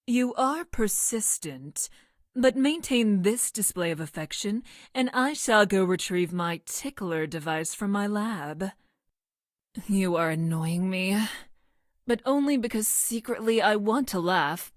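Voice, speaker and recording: Game role, actress: female future scientist, mid-pitched, clinical and emotionless, formal precise diction, close dry booth sound. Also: dry recording, lightly acted. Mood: deadpan. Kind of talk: scripted video game character lines.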